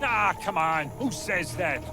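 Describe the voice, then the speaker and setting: Complaining goofy snail sidekick, super high voice, bouncy pitch, slangy, music behind. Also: male voice, lively intonation, measured pace. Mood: panicked whining. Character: whiny, wisecracking young male cartoon snail sidekick.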